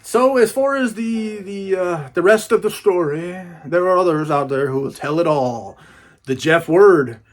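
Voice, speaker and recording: A man with a slightly high-pitched voice talks informally as a collector showing off a purchase. His delivery is folksy and rambling, in the casual style of an unboxing video. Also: unhurried. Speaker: book collector reviewing an unboxing.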